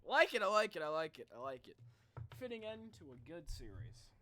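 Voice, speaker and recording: Higher-pitched guy chatting casually on stream. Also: very animated, a little fast, very noisy. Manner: thinking aloud, slangy, addresses viewers. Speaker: streamer, video host. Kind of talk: casual livestream reaction chat.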